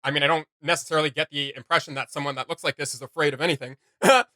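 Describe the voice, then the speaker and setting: A man hosting a reaction video, chatting casually in an excitable, slangy, rambling way. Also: a little high, rapid-fire, dry recording, clean studio sound.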